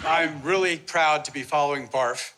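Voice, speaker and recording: A man's voice: on the high side, moderate pace, heavy background noise. A man asking an informal fan question at a panel in an earnest, enthusiastic way. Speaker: audience member asking a question.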